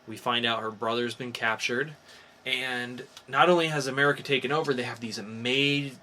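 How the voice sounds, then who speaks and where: Male podcast co-host, bright mid-pitched voice, casual and enthusiastic review talk, slight noise behind. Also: steady tone, moderate pace. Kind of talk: casual comic book review chat. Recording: faint background noise.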